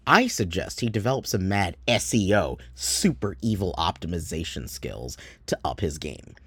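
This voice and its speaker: Comic office drone, voiced by a man, earnest, subdued, corporate patter, lowish voice, dry recording. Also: animated, normal speed, fairly bright tone, husky, dry recording, full character voice. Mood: blandly earnest. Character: earnest, drab office worker full of corporate jargon. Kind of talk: scripted comedy sketch.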